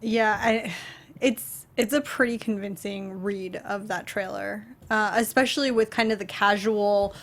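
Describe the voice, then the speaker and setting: Woman co-host with a medium-pitched voice. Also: fairly even intonation, measured pace. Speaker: show co-host. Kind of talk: casual film and game discussion.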